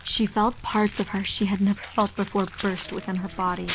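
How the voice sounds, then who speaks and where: A woman's voice: medium pitch, flat delivery, brisk, a bit bright, slight background noise, low-quality recording. A woman giving a dramatic scripted narration of a horror story.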